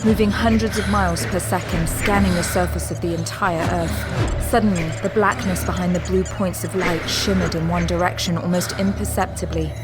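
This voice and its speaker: A woman reading scripted, dramatic narration for a sci-fi audio drama. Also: lowish voice. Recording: loud music under narration.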